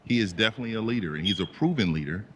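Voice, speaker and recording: A man's voice: lowish voice, lively intonation, very fast, fairly bright tone, slight background noise. A man in a debate making an insistent, repetitive argument. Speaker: debate participant.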